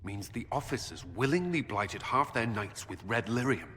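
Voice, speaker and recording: A forceful, sharp-toned commander. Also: male voice, mid-range voice, lively intonation, normal speed, a little hiss or hum. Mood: disapproving. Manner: stern, disapproving, formal. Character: stern, sceptical male military commander. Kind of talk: dramatic fantasy game acting. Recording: long hall reverb, faint music.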